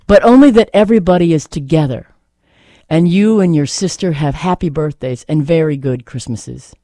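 A man with a fairly high, mellow voice hosts a poetry reading. He is reflective and casual, and tends to repeat a phrase for emphasis. The sound is dry, with hardly any room around him. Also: no room sound. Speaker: host reading poems aloud.

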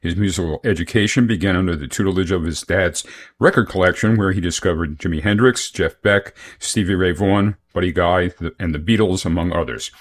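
Low-voiced male radio host. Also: brisk. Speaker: radio music show host. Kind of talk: scripted reading of album notes.

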